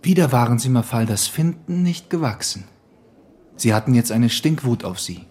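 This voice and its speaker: An actor playing a wry, self-assured police detective in a German radio crime drama, speaking in a dry, knowing, matter-of-fact way. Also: male voice, medium pitch, expressive, normal speed, dark tone, natural voice. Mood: pressing, reconstructing.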